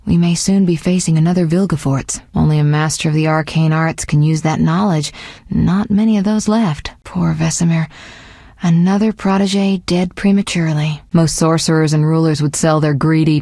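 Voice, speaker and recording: A proud young sorceress from a fantasy video game, with a fairly low female voice. She is confident and articulate, able to command or to show tenderness, and is recorded close and dry. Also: steady tone, normal speed, dark tone, dry recording. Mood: serious, informative.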